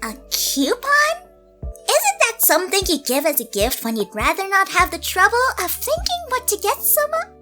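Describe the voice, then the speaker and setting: Woman as a very high, excitable teasing male character. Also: moderate pace, faint music behind, heavily acted. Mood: intrigued. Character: flippant, smirking male tease.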